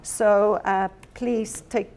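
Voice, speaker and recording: A woman's voice: medium pitch, steady tone, extremely slow, darkish tone, clean tone. A woman whose first language is Afrikaans, giving an earnest, encouraging workshop presentation about teaching technology, with frequent ums.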